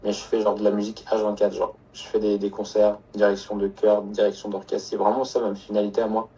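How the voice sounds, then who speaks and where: A man chatting casually in a halting, slangy, jokey way. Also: on the low side, monotone, dark tone, husky, distant mic, rough recording. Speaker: e-commerce student. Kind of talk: casual chat.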